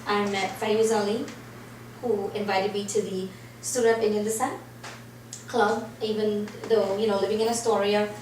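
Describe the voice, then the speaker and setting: A woman from Trinidad telling her life story as an interview guest, animated, acting out the reactions she quotes. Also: medium pitch, moderate pace. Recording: roomy and distant, faint noise.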